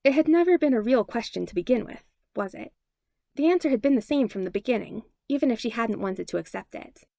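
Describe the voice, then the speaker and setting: A woman reading fan fiction aloud as a podfic narrator, with expressive, animated storytelling. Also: fairly high pitch, fairly quick, creaky, no room sound, clean studio sound. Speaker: podfic reader.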